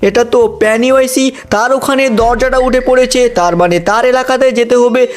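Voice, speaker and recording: High-voiced excited cartoon narrator. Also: male voice, brisk. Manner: dramatic, addresses viewers as guys.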